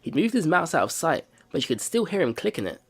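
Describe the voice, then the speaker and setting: A man hosting a let's play, reading visual novel narration aloud in an expressive storytelling way. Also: on the high side, quick pace, breathy edge.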